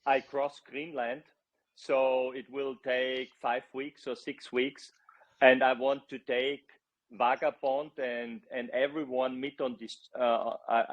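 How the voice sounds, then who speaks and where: A man's voice: medium pitch, highs cut off. A middle-aged man, a project founder, explaining earnestly in an online AMA and often searching for words.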